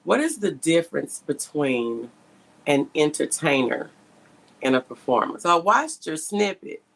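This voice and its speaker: A woman's voice: low-pitched, a bit bright. A woman hosting a conversational interview, speaking emphatically and repeating key points for stress. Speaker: podcast host and interviewer.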